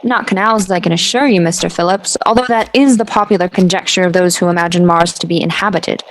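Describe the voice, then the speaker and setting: A woman's voice: on the low side, quick pace, a little hiss or hum, natural voice, poor audio. A woman playing a dignified, skeptical astronomy professor in a 1930s-style radio drama, formal, precise and dismissive. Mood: assured, dismissive.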